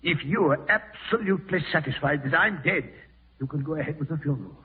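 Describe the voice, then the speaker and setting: Raspy, morbid old man, vintage radio. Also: fairly high pitch, lively intonation, fast talker, recorded from a distance. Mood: urgent insistence. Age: older. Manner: insistent, commanding, brooding. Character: old ailing man, morbidly fearful of live burial, domineering. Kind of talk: 1940s radio horror acting. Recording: muffled vintage radio broadcast, thin narrow band.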